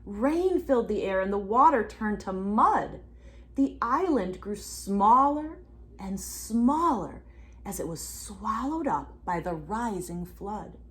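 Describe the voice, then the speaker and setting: A woman reading a storybook aloud expressively to children, pausing for asides and questions to her young listeners. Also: medium pitch. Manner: animated, addresses children with questions. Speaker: children's read-aloud reader.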